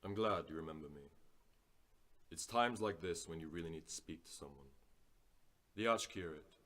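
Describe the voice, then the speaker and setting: Man playing very deep, grave, guarded brother. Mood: calm, guarded. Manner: measured, calm, evasive. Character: grave, guarded hooded brother of a secretive order. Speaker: actor in student radio drama. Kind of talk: dramatic radio drama acting.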